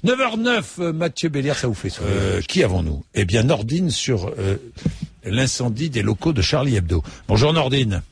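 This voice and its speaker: Male radio host, husky, animated intonation, emphatic phone-in style. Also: medium pitch, moderate pace, no echo. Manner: animated, emphatic, frequent "hein" and hesitations. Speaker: radio talk show host. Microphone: studio microphone.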